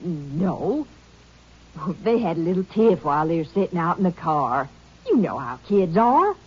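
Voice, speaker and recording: A woman's voice: lowish voice, big pitch swings, unhurried, breathy edge, exaggerated voice. A middle-aged woman playing a worried rural mother in an old radio drama, folksy and anxious. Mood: defensive. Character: worried rural mother defending her son. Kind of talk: 1950s radio acting. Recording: dull old radio recording.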